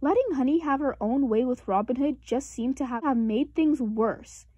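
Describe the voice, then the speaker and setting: A woman narrates a children's picture book aloud. Her voice is fairly high and expressive, with deliberate emphasis, recorded dry and close. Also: dry recording. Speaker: storybook reader.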